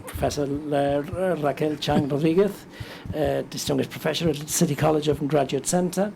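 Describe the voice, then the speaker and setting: A man from England who directs a cultural institute, opening an event by welcoming guests and introducing a panel in a chatty, self-joking way, hoarse as he is losing his voice. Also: fairly high pitch, fairly even intonation, moderate pace, background noise. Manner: chatty and self-joking, with many fillers and restarts.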